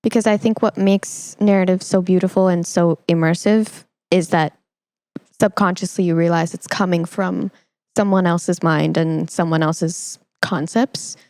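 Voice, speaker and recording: A woman's voice: fairly low pitch, steady tone, measured pace, darkish tone, no echo. A woman hosting a podcast, talking in a conversational, reflective way as she interviews a guest and shares her views.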